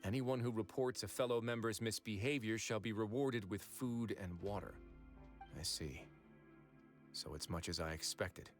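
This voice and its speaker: A man voicing a composed prison-fortress administrator in a video game, speaking in a measured, even way. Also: on the low side, faint music behind, natural voice. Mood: explaining.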